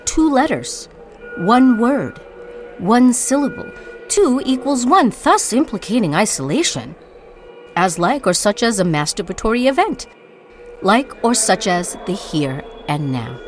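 A woman performing a dramatic spoken-word monologue as narrator, with theatrical, deliberate, incantatory phrasing. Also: on the high side, big pitch swings, faint music behind.

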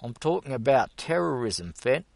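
A man's voice: medium pitch, lively intonation, a little slow, no echo, voice pushed up. A man voicing a blustering, boastful bearded man who pushes his point hard. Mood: stern. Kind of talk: audiobook character voice.

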